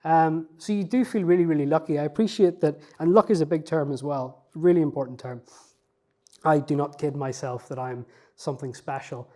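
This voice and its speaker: A man's voice: on the high side, fairly quick, dark tone. A man, a researcher, answering interview questions in a reflective, self-effacing way, with frequent fillers and restarts. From not from Newcastle.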